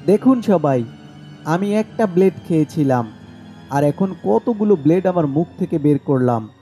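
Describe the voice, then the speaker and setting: A man acts out a village street magician for a horror cartoon, proclaiming like a showman. The voice is slightly high yet very dark and muted, with music behind it. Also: expressive, normal speed. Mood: showy, confident. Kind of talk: animated horror story dialogue.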